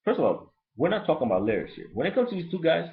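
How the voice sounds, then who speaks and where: A man with a Haitian accent who grew up in Brooklyn, ranting bluntly and profanely in a casual, rambling album review. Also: rapid-fire. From Brooklyn, New York. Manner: profane, blunt and rambling, lively pitch swings. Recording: lo-fi dull recording.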